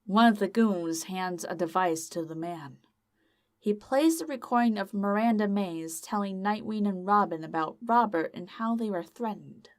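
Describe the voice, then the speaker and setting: A woman's voice: medium pitch, normal speed. A woman narrating a scripted audio drama as storyteller.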